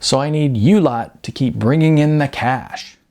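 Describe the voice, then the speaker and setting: A man's voice: mid-range voice, unhurried, a little mellow, lightly acted. A man voicing a brash, chatty middle-aged female talent agent, breezy, blunt and flirty, in an audiobook. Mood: breezy, teasing. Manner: breezy, wisecracking, emphatic.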